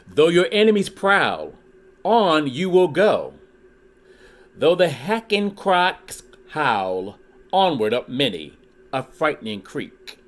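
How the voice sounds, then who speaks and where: Slow, animated man reading a storybook. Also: on the high side. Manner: deliberate, dramatic phrasing with pauses for emphasis. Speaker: author reading a children's book aloud for a video channel. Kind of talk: expressive storybook read-aloud in rhyme.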